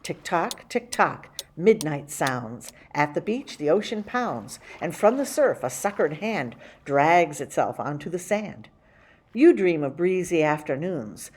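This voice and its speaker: A woman hosting a library story time, reading a rhyming picture book to children in a theatrical, rhythmic way with dramatic slowing. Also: on the low side, a little mellow. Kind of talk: expressive picture book read-aloud for children.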